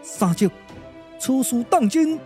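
A man's voice: very high-pitched, expressive, slow, a little mellow, faint music behind, heavily acted. A man voicing an earnest, anxious young warrior with a Taiwanese accent, speaking emphatically and formally in a puppet drama. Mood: doubtful.

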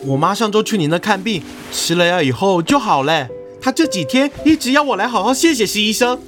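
Bashful neighbourhood carpenter, high pitched, very animated, eager, effusive, hesitant; audio drama. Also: male voice, fairly quick, faint music behind. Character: eager, bashful, chatty male village carpenter. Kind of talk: Chinese audio drama acting.

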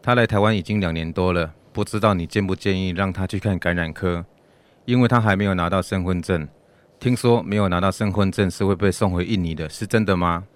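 A man's voice: low-pitched, steady tone, natural voice. A man playing a working husband with a countrified Taiwanese accent and loose pronunciation, asking a worried question in a radio skit. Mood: worried, earnest. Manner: deliberately non-standard, rustic pronunciation. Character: working man asking about his foreign wife's health. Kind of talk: radio drama listener question.

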